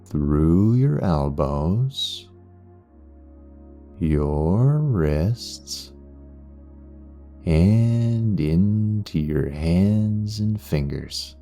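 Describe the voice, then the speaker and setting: Male meditation guide: deep, extremely slow and soothing, with long pauses between phrases. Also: warm tone. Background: background music.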